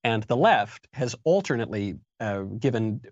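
A man speaking as a guest on a political talk show, emphatic and argumentative, often pushing his pitch up to land his points. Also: very animated, moderate pace, no echo, highs cut off. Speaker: guest commentator.

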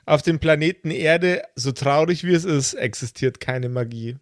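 A man's voice: a little high, moderate pace, no echo, studio quality. A man speaking casually and teasingly as the game master of a tabletop game. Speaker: game master on a tabletop role-playing podcast.

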